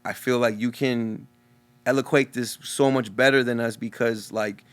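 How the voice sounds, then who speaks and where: A man's voice: mid-range voice, fairly even intonation, normal speed, clear, resonant tone. A young man from Texas with a Texan accent who stutters openly, talking casually and earnestly as a guest DJ in an interview. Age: young adult.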